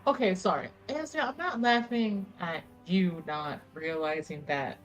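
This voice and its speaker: A woman's voice: lowish voice, a little slow, muffled recording, faint music behind. A woman in casual banter during a tabletop roleplaying game, with a teasing, blunt way of talking.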